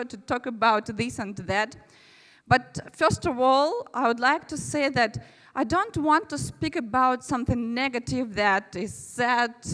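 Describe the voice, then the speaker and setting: A woman's voice: fairly high pitch, fairly bright tone. Russian-accented woman presenting in a hall. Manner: friendly, chatty, with asides to the audience. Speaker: conference presenter. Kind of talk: conference presentation. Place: large conference hall. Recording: reverberant hall.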